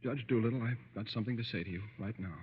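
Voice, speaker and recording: An actor playing a nervous, meek young lawyer and self-pitying husband in an old radio drama, hesitant and stammering. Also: male voice, lowish voice, rapid-fire, close to an everyday voice. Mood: nervous resolve. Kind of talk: 1930s-40s radio acting. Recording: muffled vintage radio recording, echoey, faint hiss.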